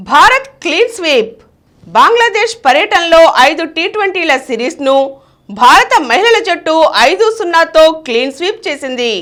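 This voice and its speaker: High-pitched, animated woman reading news. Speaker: news reader. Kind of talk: scripted news bulletin narration.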